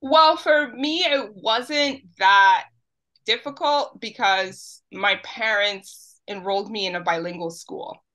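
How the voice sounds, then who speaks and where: A woman from New York City whose first language is Spanish, talking as a podcast guest. Her voice is bright and lively at mid pitch. She talks thoughtfully and casually, with plenty of ums and restarts. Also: slow. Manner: thoughtful, with many fillers and restarts. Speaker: guest, teacher. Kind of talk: casual interview talk.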